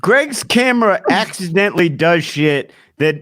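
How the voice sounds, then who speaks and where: Guy hosting a comedy podcast, pretty high voice, casual banter, kinda crude, dry close mic. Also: lively intonation, unhurried, a bit bright, dry recording. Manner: profane, irreverent. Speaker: podcast host.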